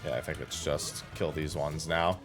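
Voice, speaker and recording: A man's voice: very deep voice, fairly even intonation, normal speed, over music. A man giving casual, rambling livestream commentary as he plays a game, thinking aloud. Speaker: livestream gamer and host.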